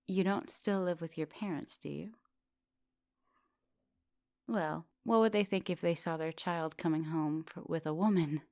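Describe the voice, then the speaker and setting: A woman playing an immortal vampire professor in an ASMR roleplay, teasing, flirtatious and gently insistent. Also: fairly low pitch, no echo, studio quality. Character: teasing, thousand-year-old female vampire professor, soft dominant, charming. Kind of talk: ASMR roleplay, intimate one-sided conversation.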